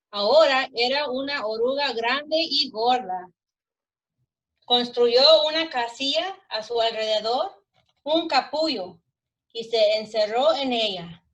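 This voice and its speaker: Texan lady, bright higher voice, reads spanish softly, short answers. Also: measured pace, lo-fi sound. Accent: Texan Spanish-English bilingual. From Texas. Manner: bright, gentle, brief replies. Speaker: guest reader, deputy. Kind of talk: bilingual read-aloud and chat.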